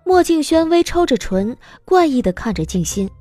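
A woman narrating a scripted audio drama in an expressive storytelling style. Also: high voice, normal speed, soft background music.

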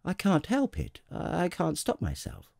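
Small boy prince, acted by an adult man: raised pitch, timid, courteous, questioning, very lively melody. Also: a little slow, gravelly, no room sound, clean studio sound, heavily acted. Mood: embarrassed, weary. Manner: timid, polite, abashed. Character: timid, curious little prince, young boy.

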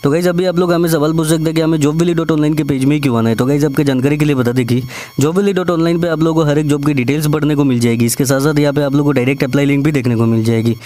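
Fast-talking Indian man explaining steps. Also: a little high, fairly even intonation, mellow, faint music behind. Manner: repetitive step-by-step explaining, addressing viewers directly. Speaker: job vacancy channel presenter. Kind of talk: screen-recorded tutorial voice-over.

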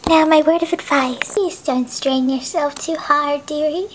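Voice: high-pitched, steady tone, measured pace, slight background noise. A performer doing a sugary condescending female teacher. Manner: condescending, calls others dearie.

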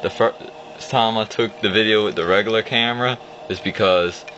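A man's voice: lowish voice, normal speed. A young man in a casual, rambling walkthrough of an old computer, explaining as he clicks, recorded on a phone at a home desk. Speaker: vlogger showing an old computer. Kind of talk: casual off-the-cuff screen walkthrough. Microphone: phone camera held at the screen. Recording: dull lo-fi phone audio with hum.

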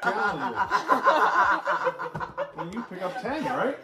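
A woman's voice: medium pitch, very animated, slow, far from the mic. A woman chatting casually over a card game, recorded by a camera across the table in a wooden room. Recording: roomy, off-mic, loud music behind.

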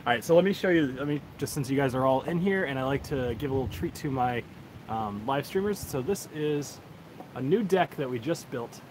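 Chill guy rambling on a livestream, average pitch, phone mic outside, pretty noisy. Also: lively intonation, fairly quick, darkish tone. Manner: laid-back, rambling, chuckles often. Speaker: whiskey reviewer, livestream host. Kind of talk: casual livestream chat. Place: outdoors on a backyard deck. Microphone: cell phone on a tripod. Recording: noisy outdoor phone recording.

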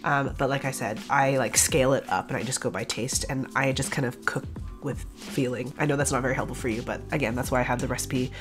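A woman's voice: very deep voice, fairly even intonation, fast talker, music in the background. A woman in her thirties, stuffy from a cold, chatting through a cooking voice-over with many rambling asides and "like"s. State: congested from a cold. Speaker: vegan cooking channel host. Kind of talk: casual voice-over cooking tutorial.

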